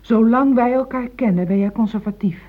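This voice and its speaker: An actress playing a pragmatic, pushy middle-aged wife, insistent and reproachful, in a radio play. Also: female voice, fairly high pitch, normal speed, lightly acted. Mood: reproachful, pressing. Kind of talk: Dutch radio play acting. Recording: thin, phone-like old radio play recording.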